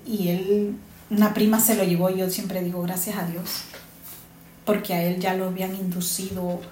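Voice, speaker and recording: A woman talks earnestly and circles back to repeat her points. Her voice is mellow and dark. She sits in a roomy, echoey space with faint ambient noise behind her. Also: medium pitch. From Honduras. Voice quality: earnest, repeats herself for emphasis. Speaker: interviewee, migrant mother. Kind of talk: earnest personal testimony.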